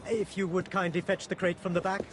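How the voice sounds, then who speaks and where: A man's voice: high voice, little pitch movement, a little fast, natural voice. A man playing a courteous, refined Indian maharaja in a video game, with an Indian accent and formal, gallant manners. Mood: courteous, warm. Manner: formal, polite, gallant turns of phrase. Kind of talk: video game cutscene acting. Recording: loud music behind the voice.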